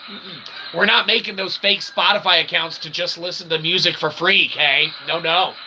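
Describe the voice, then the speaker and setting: A man's voice: a little high, fairly even intonation, normal speed, background noise. A man hosting his own channel with a rambling, self-deprecating comic monologue, folksy and often tagging his phrases with a questioning okay. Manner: folksy, repeats "okay?" as a tag; self-deprecating, tongue-in-cheek. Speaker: independent internet channel host promoting his books.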